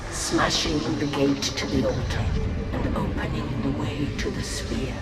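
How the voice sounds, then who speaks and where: An actor narrating a war saga in a solemn, measured, dramatic storytelling manner. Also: male voice, medium pitch, natural voice. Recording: roomy, loud music behind.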